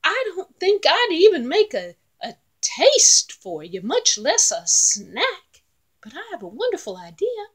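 A woman voicing a tiny, clever young male goat with a high, squeaky voice and a pleading, wheedling manner. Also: wildly swooping pitch, unhurried, exaggerated voice.

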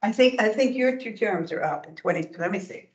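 A woman's voice: medium pitch, lively intonation, fairly quick. Older woman chairing a town meeting. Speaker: commission chairperson. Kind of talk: meeting discussion. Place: town council chamber. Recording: echoey room, dull lo-fi sound.

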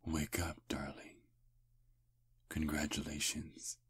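A man voicing a seductive, possessive vampire prince in a breathy, intimate whisper for a romantic ASMR roleplay. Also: a bass voice, flat delivery, fairly bright tone, exaggerated voice. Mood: tender, loving. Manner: slow, intimate, murmuring.

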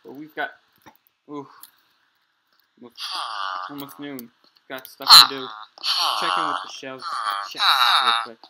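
A man's voice: medium pitch, expressive, unhurried, long pauses, sharp tone. A man improvising in-character narration for a game roleplay, talking to himself in explanatory fragments. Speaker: player in a Minecraft roleplay series. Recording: clearly noisy amateur game recording with clicks.

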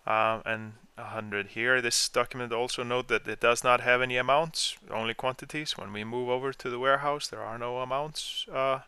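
Very bright-voiced man giving a software tutorial. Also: mid-range voice, steady tone, moderate pace, hardly any pauses, smooth, dry recording. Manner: explanatory, step-by-step. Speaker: software trainer. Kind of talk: informal screen-share software tutorial.

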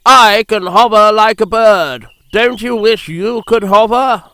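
A haughty, bored helicopter from a children's tale, voiced by a man. It drawls slowly and condescendingly, as if easily offended. The pitch is somewhat high. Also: big pitch swings, a bit bright, clean tone, dry recording, full character voice.

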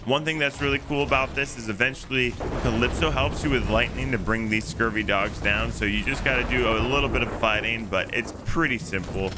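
A man's voice: medium pitch, fairly quick, hardly any pauses, background noise. A man narrating a video game walkthrough in a casual, instructive way. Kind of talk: walkthrough commentary over gameplay. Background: music.

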